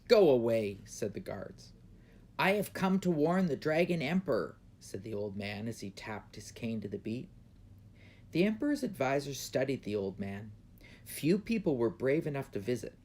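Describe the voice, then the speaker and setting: A man reading his own children's book aloud in expressive storybook narration. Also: mid-range voice.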